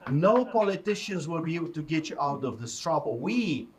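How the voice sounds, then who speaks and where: Casting: middle-aged man, Polish accent, street preacher, forceful and mocking, fairly high voice. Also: very animated, moderate pace. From Poland. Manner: forceful, mocking, rising to shouts. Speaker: street pastor. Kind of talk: fiery preaching to a crowd.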